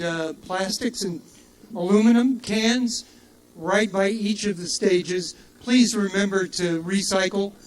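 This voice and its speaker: Older man, high voice, festival emcee, rambling with fillers, self-joking, outdoor stage mic. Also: poor audio. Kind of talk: casual stage announcements.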